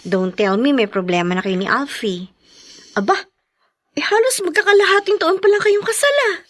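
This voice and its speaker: A woman's voice: high voice, normal speed, a bit bright, no echo, clean studio sound. A woman acting a chatty, concerned, probing friend in a Tagalog radio drama. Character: nosy, concerned female friend, lively and chatty.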